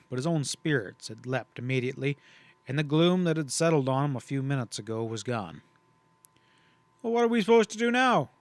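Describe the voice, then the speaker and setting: A man narrating a western audiobook with a steady, even delivery at a middling pitch. The sound is dry and close, with no room around it. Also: clear, resonant tone, no echo. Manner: steady, even storytelling read. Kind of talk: scripted narration.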